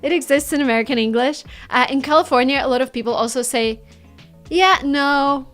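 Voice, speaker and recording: A woman with a Russian accent, teaching an online English lesson and acting out example phrases with lively intonation. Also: high voice, fairly quick, soft background music.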